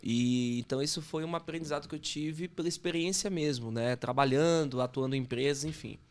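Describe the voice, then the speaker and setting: A man's voice: fairly high pitch, brisk. A man in his thirties with a Bahian Brazilian accent, chatting casually as an interview guest. He talks informally, with slang and frequent self-corrections. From Salvador, Bahia, Brazil. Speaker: podcast guest, sales consultant. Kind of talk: casual interview chat, telling career story.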